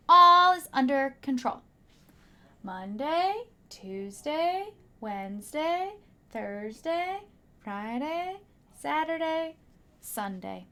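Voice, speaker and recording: Slow, animated high-voiced woman reading aloud. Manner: expressive, dramatic emphasis, drops into hushed asides. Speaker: teacher reading a picture book aloud. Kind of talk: children's story read-aloud with asides to young listeners.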